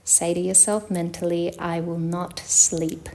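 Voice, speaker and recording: Low-pitched woman guiding meditation, very even tone. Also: slight background noise. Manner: calm, measured, instructional, with long pauses. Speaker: yoga teacher leading guided meditation. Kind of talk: guided yoga nidra meditation.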